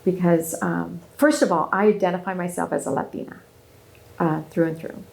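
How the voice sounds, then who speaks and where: Woman, 50s, from Southern California, native German speaker, gravelly voice, earnest and animated, often restarting her sentences. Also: fairly low pitch, normal speed. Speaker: guest speaker. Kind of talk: interview conversation.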